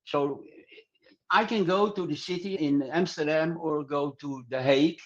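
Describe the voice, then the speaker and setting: Older male podcast guest, higher-set voice, halting and repetitive delivery, muffled low-fidelity sound. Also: a bit bright. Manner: halting, repetitive non-native English. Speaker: podcast guest, club singer. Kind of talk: casual interview answers.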